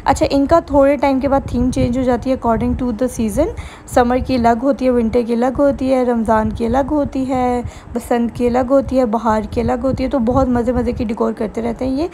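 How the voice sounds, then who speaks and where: Young pakistani woman chatting on her phone vlog, bit of fry in the voice, loud background noise. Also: a little high, fairly even intonation, moderate pace. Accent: Pakistani Urdu. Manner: chatty, enthusiastic, mixes English phrases into Urdu. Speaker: vlogger. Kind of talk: casual vlog narration, Urdu mixed with English. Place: restaurant buffet and shopping mall. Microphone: handheld phone.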